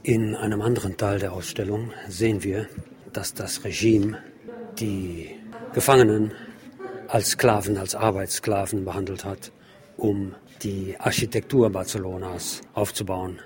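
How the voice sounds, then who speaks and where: Man, lowish voice, reporter, measured explanatory delivery, deliberate phrasing, exhibition narration. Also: fairly even intonation, a crowd in the background.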